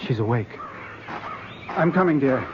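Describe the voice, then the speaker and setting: An actor playing an anxious, devoted husband in an old-time radio drama, earnest and theatrical. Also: male voice, medium pitch, lively intonation, unhurried, close to an everyday voice. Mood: gentle, coaxing. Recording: muffled, noisy old radio broadcast.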